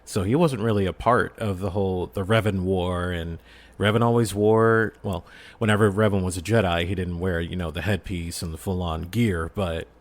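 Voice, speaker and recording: A man in a casual podcast discussion, animated and given to breaking into character voices. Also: deep voice, normal speed.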